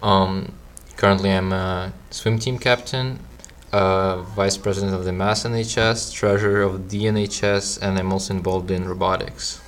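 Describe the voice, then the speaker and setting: A teenage boy from Ukraine speaks in a very low, flat voice, answering as a podcast guest. He talks in a measured way with frequent ums and uhs. Faint ambient noise sits in the background. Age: teens. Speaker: student guest. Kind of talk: casual interview answers.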